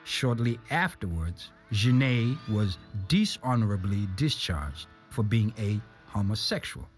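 A man's voice: fairly low pitch, very animated, a little slow. A man reads scripted documentary narration in the role of narrator. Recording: music behind the voice. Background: music.

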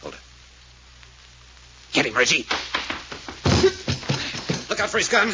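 A tough adventurer from a 1940s radio mystery. He is blunt and commanding, with a very animated delivery. The old recording is dull and full of noise. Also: a little high, slow. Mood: brisk, alert.

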